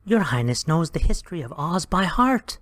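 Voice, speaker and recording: A woman voicing a small, loyal, cheeky male servant with a lowered, gruff, firm delivery. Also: sing-song, normal speed, dry recording. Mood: comforting. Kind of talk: storybook reading.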